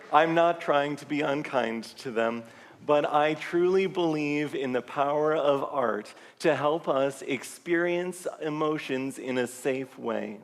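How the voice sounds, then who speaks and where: Earnest man speaking in a reverberant church. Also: mid-range voice. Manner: earnest, measured delivery. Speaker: service leader. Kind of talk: sermon-style reflection to a congregation. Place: large church sanctuary. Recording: long reverb of a large church hall.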